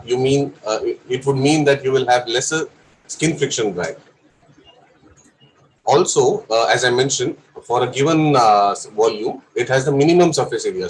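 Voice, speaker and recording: A man's voice: mid-range voice, slight background noise, rough recording. A young man with an Indian English accent and a cough, giving a technical lecture with frequent fillers. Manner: careful explanation with frequent fillers. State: has a cough. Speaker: presenter of a course session.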